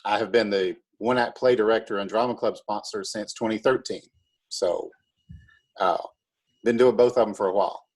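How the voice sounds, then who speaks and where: A man with a calm voice, giving casual, explanatory interview answers like a teacher. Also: on the low side, normal speed. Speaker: high school math teacher and drama director.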